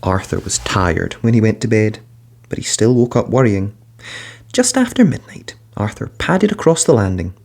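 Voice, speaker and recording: A man reading a children's picture book aloud in a gentle, expressive bedtime-story manner. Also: on the low side, normal speed, creaky.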